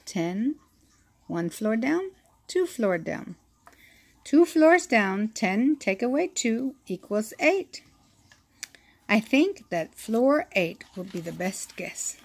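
A woman reads a children's picture book aloud at a very slow, deliberate pace. Her voice sits fairly high and has a creaky edge, and her delivery is expressive. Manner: slow, expressive reading with acted-out sound effects.

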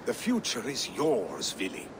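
An older actor playing a weary, loyal old Viking advisor in a video game, speaking gravely and measuredly. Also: male voice, mid-range voice, wildly swooping pitch, unhurried, background noise. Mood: weary, resigned.

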